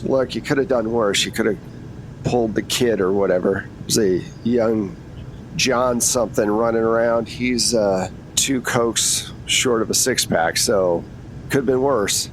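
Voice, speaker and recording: Man as matter-of-fact older female shoplifter, casual and rambling, tabletop roleplay, faint rumbly noise. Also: unhurried, natural voice, low-quality recording. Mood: chatty. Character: chatty older woman shoplifter in jail, late sixties.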